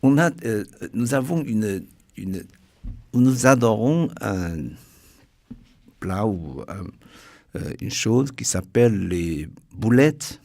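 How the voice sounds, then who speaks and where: A man from China, speaking French with a Chinese accent, a guest author in a radio interview who talks haltingly with many restarts. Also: lowish voice, animated, gravelly, darkish tone.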